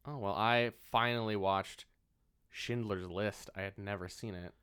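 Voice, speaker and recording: A man's voice: lowish voice, steady tone, unhurried, a bit bright, smooth. A man co-hosting a podcast, telling informal anecdotes and chatting casually about films. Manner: informal, anecdotal, mild swearing. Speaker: podcast co-host.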